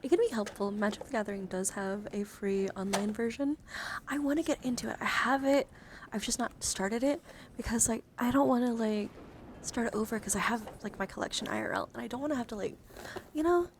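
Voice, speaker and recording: A woman livestreamer chatting casually and informally, excitable and prone to swearing, as she talks viewers through her hobby. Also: on the high side, a little fast, a little hiss or hum. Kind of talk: casual livestream chat while sorting trading cards.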